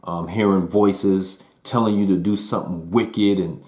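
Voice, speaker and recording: Laid-back low-voiced man reviewing music. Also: measured pace. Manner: relaxed and conversational, with slang and fillers. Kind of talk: casual album review.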